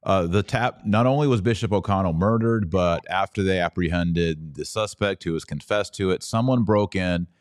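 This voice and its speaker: A man's voice: low-pitched, darkish tone, no echo, clean studio sound. A man hosting a podcast, telling stories and explaining at length in casual conversation. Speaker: podcast host.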